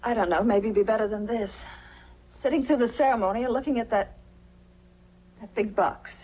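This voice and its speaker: An actress playing a guilt-ridden, pleading widow in a 1940s film noir style. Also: female voice, on the high side, normal speed, slightly rough. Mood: anguished. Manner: anguished, pleading. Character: guilt-ridden, anguished widow in a crime melodrama. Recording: muffled 1940s film soundtrack.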